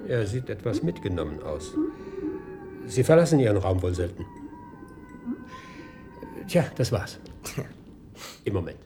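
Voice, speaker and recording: A wry inspector, lively intonation. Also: male voice, on the low side, measured pace, pauses often, soft background music. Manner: casual, dryly needling. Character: nosy, wry male police inspector. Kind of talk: German radio play acting.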